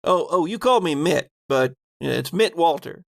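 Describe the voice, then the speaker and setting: A bashful working guy, put on by a male game master, his voice lifted and a little gravelly. He is halting, polite and folksy, awkwardly friendly in a tabletop game. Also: on the high side, animated, dry recording, clean studio sound, pitched above the performer's own voice. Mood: shy, friendly. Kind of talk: improvised role-play by a game master.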